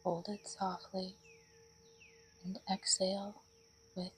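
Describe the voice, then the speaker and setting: A woman leading a guided meditation in a soothing, breathy voice that often drops toward a whisper. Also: medium pitch, little pitch movement, slow, slight background noise.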